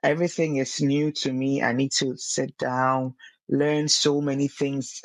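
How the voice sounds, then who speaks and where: A woman's voice: rumbling low, little pitch movement, measured pace, dry recording. Woman, singer guest, earnest, stumbling restarts, dry close sound. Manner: earnest, repeats words when searching for them. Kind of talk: casual podcast interview.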